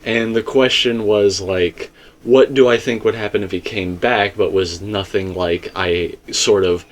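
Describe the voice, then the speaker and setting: A man with a slightly low voice holds forth in a casual discussion. He speaks emphatically and rambles, slipping into asides and quoting himself as he works through his theory as a commentator. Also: fairly even intonation, measured pace.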